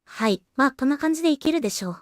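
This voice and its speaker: A woman's voice: sits high, expressive, very fast, heavy background noise. A woman giving casual production commentary, thinking aloud as she works as a solo animation creator and voice actor.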